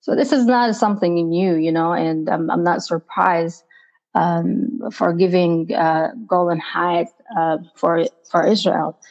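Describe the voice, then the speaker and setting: Woman w palestinian arabic accent, lowish pitch, podcast guest talking in halting chatty phrases. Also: steady tone, creaky, darkish tone, dull audio. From Palestine (Jerusalem). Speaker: podcast guest, nonprofit director and activist. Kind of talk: conversational interview answers.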